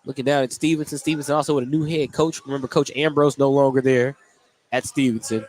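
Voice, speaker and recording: A man's voice: mid-range voice, steady tone, fast talker, a little mellow, slight background noise. A man doing live play-by-play radio commentary on a basketball game as the announcer. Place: courtside in a gymnasium.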